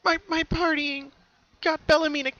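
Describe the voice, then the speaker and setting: A man voicing a small, frightened, grieving filly pony in a story reading, squeaky and tearful, with halting, stammering speech. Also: level tone, pushed and bright, heavily acted. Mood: distraught. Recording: dull, muffled home recording.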